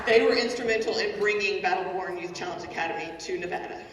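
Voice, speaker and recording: A woman's voice: mid-range voice, fast talker. A woman with a Texas accent emceeing a ceremony, introducing guests with friendly joking asides and small stumbles. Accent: Texan. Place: large indoor hall. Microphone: podium mic. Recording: echoey hall from far off, crowd noise.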